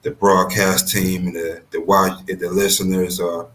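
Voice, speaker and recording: A man's voice: deep voice, flat delivery, moderate pace, a bit bright. A man with a sleepy morning voice chatting casually as a panel member. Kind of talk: casual chat.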